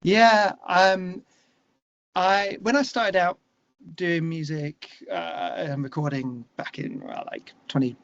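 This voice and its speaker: Male interview guest, slightly higher register, conversational and opinionated, working through thoughts aloud, on a dull lo-fi recording. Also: steady tone, slow pace, a bit bright, no room sound. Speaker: interview guest, musician and songwriter.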